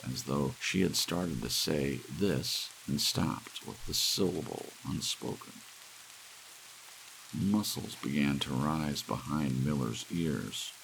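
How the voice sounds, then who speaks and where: Very deep gravelly man narrating. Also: rough recording. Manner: hushed ASMR storytelling with dramatic swells. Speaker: audiobook reader. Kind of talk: dramatic scripted audiobook narration. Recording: steady hiss of soft rain. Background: soft rain.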